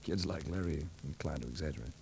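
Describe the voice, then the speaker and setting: An actor playing a worldly, wisecracking private investigator in 1940s radio drama, laconic with a dry wit. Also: male voice, very deep voice, fast, creaky, darkish tone. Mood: cool, probing. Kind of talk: 1940s radio detective acting, hardboiled narration. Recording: noisy old 1940s radio recording.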